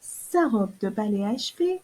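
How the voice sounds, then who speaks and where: A woman narrating a children's fairy tale for a cartoon in a calm, clear storytelling manner. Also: medium pitch, a little slow, rough recording.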